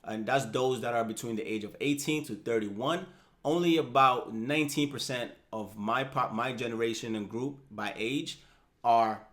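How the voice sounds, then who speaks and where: A man in his thirties hosting a personal finance channel, talking straight to the viewer in a casual, upbeat way and checking in with "right?" and "okay?". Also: moderate pace. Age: 30s. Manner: animated, rises in pitch and loudness for emphasis. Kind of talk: casual explainer talking to camera.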